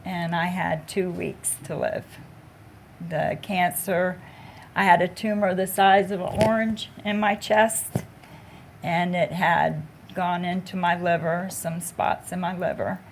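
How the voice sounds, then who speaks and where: Female panelist sharing her story; slow, hesitant delivery, lowish voice, faint noise. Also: fairly even intonation. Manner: slow, halting, with many fillers. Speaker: patient panelist. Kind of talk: panel discussion, personal story.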